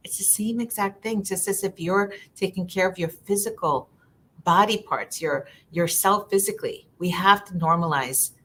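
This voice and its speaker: A woman's voice: on the low side, moderate pace, a bit bright, husky. A woman from Ecuador, a social worker, talking earnestly in a live conversation and often repeating words while she thinks.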